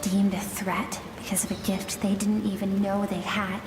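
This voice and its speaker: An actress playing a grave, sorrowful woman in a video game, recounting a tragic past in measured, somber tones. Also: medium pitch, flat delivery, noisy background, lightly acted. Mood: somber, bitter. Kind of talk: solemn dramatic storytelling. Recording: echoey long reverb with game music behind.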